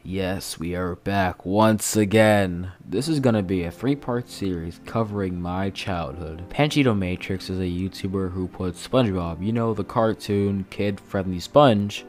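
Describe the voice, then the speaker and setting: A man with a lisp and a stutter, talking slowly and calmly through a casual, swear-filled commentary recorded at home. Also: deep voice. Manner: deliberately slow and calm delivery. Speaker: YouTube commentator.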